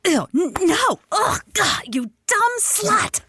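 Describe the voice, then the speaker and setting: An actress playing a haughty, flirtatious radio host who puts on glamorous sophistication, with an airy, superior manner in a comedic audio drama. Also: female voice, very high voice, very animated, slow pace, bright, forceful tone, no echo, clean studio sound, heavily acted. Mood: indignant.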